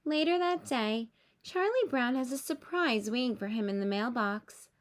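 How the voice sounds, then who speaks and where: A woman reading a children's story aloud with expressive narration aimed at young listeners. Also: fairly high pitch, moderate pace. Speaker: storybook reader.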